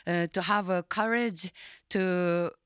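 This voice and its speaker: A woman from Japan with a Japanese accent, a band member speaking in an interview, halting and searching for words with many fillers. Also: lowish voice, steady tone, a bit bright, clean tone, no echo, studio quality. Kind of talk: casual interview chat.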